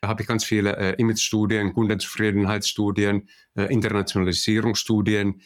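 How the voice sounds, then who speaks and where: A man's voice: on the low side, level tone, fairly quick. A middle-aged man from Finland with a Finnish accent, answering interview questions hesitantly with many fillers. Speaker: guest, managing director.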